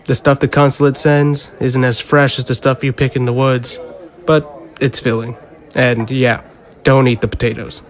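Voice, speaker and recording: Cocky young soldier, medium-pitched male actor. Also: flat delivery, moderate pace, lightly acted. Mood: proud, keen. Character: cocky, proud young soldier showing a recruit around. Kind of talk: conversational audio drama acting.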